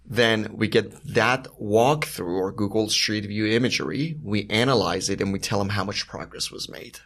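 A man's voice: fairly low pitch, normal speed, a bit bright. A man from Iran, a startup founder, explaining his business methodically as a podcast guest. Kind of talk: interview conversation.

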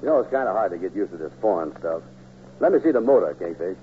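An actor playing a gullible, slow-witted fellow asking plain folksy questions in a 1940s radio comedy. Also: male voice, low voice, animated. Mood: doubtful, puzzled. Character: gullible, slow-witted male car buyer, growing suspicious. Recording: noisy, narrow-band old radio recording.

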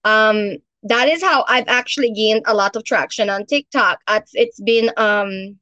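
A woman from Belize with a Belizean Creole accent, explaining things in a relaxed way on a podcast and laughing often. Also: mid-range voice, unhurried, no room sound, highs cut off. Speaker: guest. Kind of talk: casual podcast conversation.

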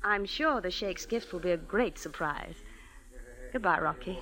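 A poised young woman in an old radio play. Her voice sits a touch low yet sounds bright and forward, polished and gracious. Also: animated, unhurried, rough recording. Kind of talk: 1940s radio acting.

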